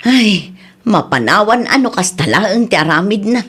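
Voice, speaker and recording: A woman's voice: medium pitch, expressive, moderate pace, a bit bright, breathy edge. A woman acting in an Ilocano comedy radio drama as an exasperated older female cousin who scolds latecomers, with an Ilocano accent. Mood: firm, annoyed.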